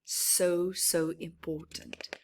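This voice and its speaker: A woman reading a picture book aloud to children as a storyteller, with deliberate phrasing and hushed, admiring asides. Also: on the low side, level tone, slight background noise. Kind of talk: expressive children's read-aloud with short asides.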